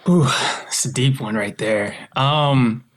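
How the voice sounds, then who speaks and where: A man with an American accent speaks at a medium pitch. He talks casually and reflectively as a guest, often halting as he feels out the right words. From United States. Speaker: interview guest, author and voice actor.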